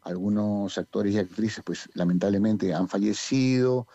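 Low-voiced Peruvian man, hesitant. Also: normal speed, dark tone, no room sound, dull audio. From Peru. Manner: rambling, many hesitations. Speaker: community theatre director. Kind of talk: interview answers.

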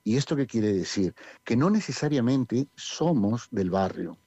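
A man's voice: medium pitch, expressive, measured pace, dark tone, breathy edge, no room sound, dull audio. A Peruvian man with a Peruvian Spanish accent who directs a community theatre group, talking in a radio interview and hesitating with many fillers. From Peru.

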